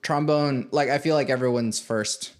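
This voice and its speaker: A man's voice: medium pitch, moderate pace, clean tone. A man from Venezuela, a composer, chatting casually in an interview, rambling with many fillers and frequent laughs. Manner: rambling, full of likes and fillers, laughs often. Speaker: composer and podcast host, interviewed as guest.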